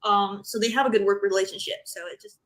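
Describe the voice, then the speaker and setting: A woman's voice: mid-range voice, fairly even intonation, fast talker, rough recording. A woman chatting casually as a podcast panelist, telling stories in a blunt, informal way. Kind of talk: casual chat.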